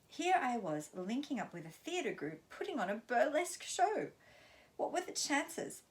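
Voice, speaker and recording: A woman from London, reading her own memoir aloud in an expressive storytelling way. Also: medium pitch. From London, England.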